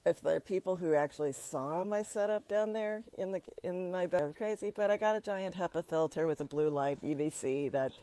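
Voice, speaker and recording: A woman's voice: on the low side, a little fast, few pauses, mellow, clean tone, dry recording. A woman chatting casually in a rambling, upbeat vlog, talking outdoors. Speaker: vlogger.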